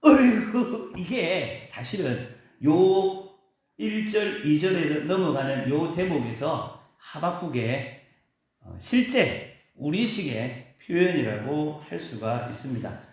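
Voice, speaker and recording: A male pastor giving a sermon in a church, preaching with emphatic rises and drawn-out stresses. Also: on the high side, sing-song, a little mellow. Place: church sanctuary. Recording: very echoey church hall.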